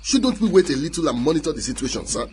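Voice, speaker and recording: An actor playing a hesitant, respectful police sergeant in a radio drama, with a Nigerian accent and a gravelly voice. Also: male voice, fairly high pitch, big pitch swings, fast talker, a little mellow, a little hiss or hum. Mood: hesitant, deferential. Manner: respectful, cautious.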